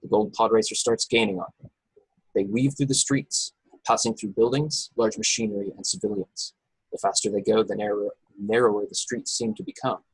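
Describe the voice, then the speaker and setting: Low, husky male narrator. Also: fairly even intonation, brisk, no room sound, rough recording. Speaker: narrator reading stage directions. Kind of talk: scripted narration at an informal table read.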